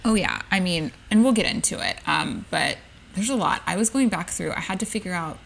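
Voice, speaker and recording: A woman's voice: fairly low pitch, expressive, a little fast, vocal fry. A woman in her thirties co-hosting a casual book podcast from her couch at home, upbeat and full of "like" and "um". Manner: upbeat, enthusiastic, lots of "like" and "um". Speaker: podcast co-host. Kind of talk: casual chat. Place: living room couch at home.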